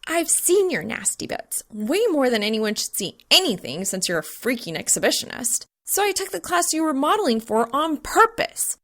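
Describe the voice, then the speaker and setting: Woman playing a high-pitched, hot-tempered college girl. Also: very animated, normal speed, few pauses, a bit bright, exaggerated voice. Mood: indignant, flustered. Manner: hissing, sputtering, shrieking when frustrated. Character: hot-tempered, easily flustered female college student.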